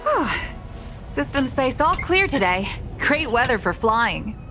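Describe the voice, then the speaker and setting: A woman's voice: high voice, sing-song, takes it slowly, full character voice. A woman voicing a breezy, teasing young star pilot bantering casually in a video game. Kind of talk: English game dub, bantering dialogue. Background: music.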